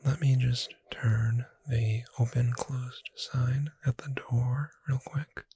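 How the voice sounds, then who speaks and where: A performer voicing a gentle, reassuring witch bookseller in a breathy whisper, soothing the listener in an ASMR roleplay. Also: medium pitch, fairly even intonation, full character voice. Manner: slow, gentle, soothing, addressing the listener directly.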